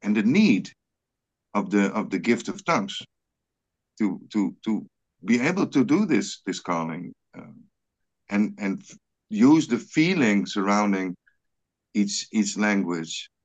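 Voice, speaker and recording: A Frisian man leading a scripture study on a video call, speaking English with many halting restarts and repeated words. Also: on the low side, sing-song. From Netherlands. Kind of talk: online religious study group discussion.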